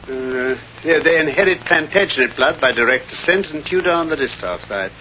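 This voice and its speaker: An actor playing an assured, cerebral detective in a 1930s radio drama, with precise, formal, theatrical diction. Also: male voice, fairly high pitch, lively intonation, brisk, close to an everyday voice. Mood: brisk, musing. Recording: dull, noisy 1930s radio recording.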